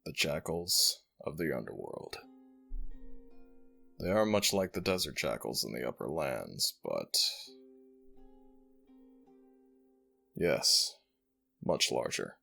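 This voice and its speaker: A man voicing an ancient jackal-headed god in an ASMR roleplay, gravelly and husky, speaking in stately, affectionate, gently teasing phrases to one listener. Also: very deep voice, very animated, slow, pauses often, soft background music, exaggerated voice. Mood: solemn, gentle. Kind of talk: ASMR roleplay audio drama.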